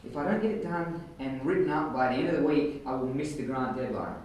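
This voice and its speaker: A man reading the part of a driven, excitable young male physics researcher at an informal script table read. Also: mid-range voice, quick pace, close to an everyday voice. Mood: stressed. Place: indoor room at a group table read. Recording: echoey room, distant mic, faint noise.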